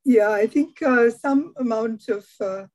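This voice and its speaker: A woman's voice: a little high, measured pace, darkish tone. A woman moderating an online panel, reading out questions and adding brief asides between them.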